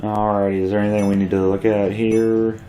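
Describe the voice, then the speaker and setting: A man's voice: fairly low pitch, little pitch movement, fairly quick, warm tone. A man co-hosting a game playthrough, chatting casually and sounding wryly exasperated at the game. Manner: wry, exasperated about the game. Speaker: co-host, gamer. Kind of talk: casual let's-play commentary. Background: game music.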